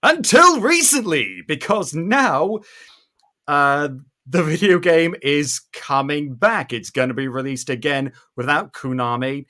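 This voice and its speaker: Animated British man hosting a podcast. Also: on the high side. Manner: animated, theatrical emphasis. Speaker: podcast host. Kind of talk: opinionated podcast commentary.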